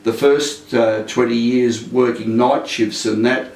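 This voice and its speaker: Older man, flat and rambling. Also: mid-range voice, slow pace. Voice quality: loud voice. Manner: rambling, many fillers and restarts. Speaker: interviewee. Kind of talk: casual interview. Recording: echoey room, mic at a distance.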